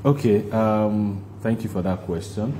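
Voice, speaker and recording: A man with a Nigerian accent answering interview questions in a deliberate, halting way, explaining things at length. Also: lowish voice, fairly even intonation, dark tone, a little hiss or hum. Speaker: guest, stage play producer.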